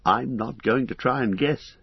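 A man doing a quiet, dreamy young hobbit. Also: medium pitch, measured pace, vocal fry, slightly rough, no room sound, lo-fi sound, heavily acted. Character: young male hobbit, dreamy and soft-spoken.

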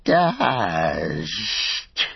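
A man's voice: deep voice, very animated, vocal fry, slightly rough, dry recording, highs cut off, heavily acted. A man voicing a wretched, starved creature in an audiobook, whining, weeping and hissing as it pleads. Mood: whimpering terror. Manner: whimpering, weeping, hissing, drawn-out pleas.

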